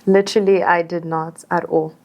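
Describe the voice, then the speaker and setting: A woman's voice: lowish voice, fairly even intonation, moderate pace, dull audio. A young woman with a South African accent telling stories casually as a podcast guest, often repeating phrases for emphasis. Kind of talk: casual conversational storytelling.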